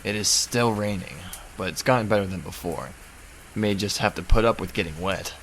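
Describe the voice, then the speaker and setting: A man acting a weary, laid-back and mildly wry schoolteacher in a fan dub of a game, with a low-key, sighing delivery. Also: fairly quick, fairly bright tone, a little hiss or hum, rough recording. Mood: mildly puzzled.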